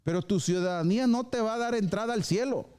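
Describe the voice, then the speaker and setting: A male pastor preaching a live sermon at a church service. He is lively, acts out short dialogues and drops English phrases into his preaching. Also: sits high, fast talker, clear, resonant tone.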